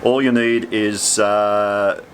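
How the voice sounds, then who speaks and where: A man's voice: lowish voice, flat delivery, slow. An Australian man in his sixties, an air traffic controller, explaining things casually in a laconic, matter-of-fact way.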